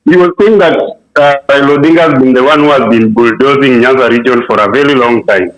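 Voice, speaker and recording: Kenyan male caller, argumentative, echoey speakerphone sound. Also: medium pitch. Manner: argumentative, rapid bursts, frequent fillers. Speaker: radio caller. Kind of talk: call-in opinion.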